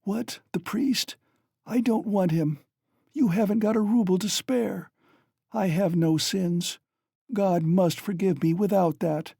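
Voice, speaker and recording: A reader voicing a dying, delirious mother, hoarse and gasping, who shrieks and wails. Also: sits high, mellow, no echo, clean studio sound, voice pushed up, heavily acted. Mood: bitter. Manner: shrieking, frantic, wailing.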